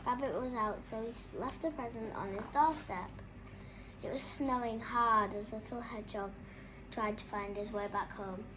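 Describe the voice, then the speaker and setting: High-voiced woman reading a picture book. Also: moderate pace, background noise. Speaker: children's story reader. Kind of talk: expressive picture-book read-aloud.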